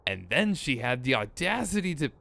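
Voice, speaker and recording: A man's voice: mid-range voice, sing-song, moderate pace, vocal fry, sharp tone, exaggerated voice. A man playing a theatrical, sardonic supervillain in a scripted ASMR roleplay, exasperated and smug, with mock menace and a grumbling, rambling streak.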